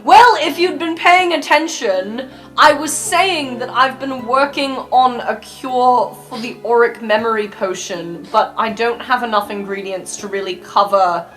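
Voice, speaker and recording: A woman's voice: a little high, fairly bright tone, close to an everyday voice. A woman voicing an awkward, earnest young male student who stammers hesitantly and explains matter-of-factly. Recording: music behind.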